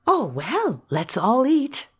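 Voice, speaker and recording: A woman playing a kindly, hospitable country mother in an amateur audio drama, gentle and placating, with a husky voice. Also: high voice, wildly swooping pitch, takes it slowly, full character voice. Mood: cheerfully hospitable.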